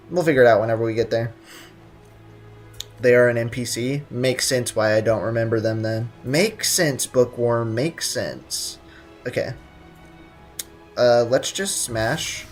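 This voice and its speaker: A woman's voice: a bass voice, darkish tone. A woman doing casual, slangy, sarcastic commentary as she streams a video game. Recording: faint game music behind.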